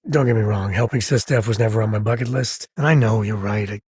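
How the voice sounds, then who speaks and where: A male actor playing an easygoing, wry and earnest spacefaring explorer in a video game, with a husky voice and casual, folksy delivery. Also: lowish voice, quick pace, a little mellow, no echo, clean studio sound. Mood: reflective, earnest. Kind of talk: scripted game character dialogue.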